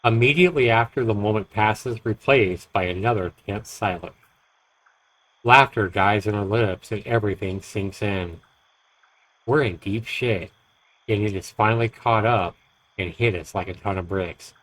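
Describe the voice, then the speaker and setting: Male narrator for horror visual novel: slightly deep, deliberate, expressive dramatic delivery. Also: rough recording. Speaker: streamer reading a visual novel aloud.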